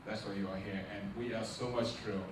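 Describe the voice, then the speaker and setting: A middle-aged man delivers a rousing, sermon-like speech, tossing questions at the audience and climbing to loud, emphatic peaks. His voice is caught from a distance in a big hall that rings with echo. A steady hiss of noise sits underneath. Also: on the low side, fairly quick. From Liberia. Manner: preacherly, asks the crowd questions and rises to loud emphatic peaks. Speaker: nonprofit founder giving a launch speech. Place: large echoey event hall. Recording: echoey hall, distant mic, noisy.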